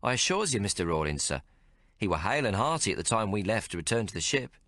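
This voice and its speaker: A man voicing a deferential whaler who speaks in rough dialect, adding "sir". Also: lowish voice, sing-song, fast, fairly bright tone, no echo, studio quality.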